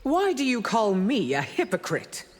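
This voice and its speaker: A woman's voice: on the high side, huge pitch swings, unhurried, full character voice. A woman playing a stern, haughty fantasy ruler in a fighting game, speaking formally and imperiously. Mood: stern, cold. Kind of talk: fighting game character dialogue. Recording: long reverb, faint noise.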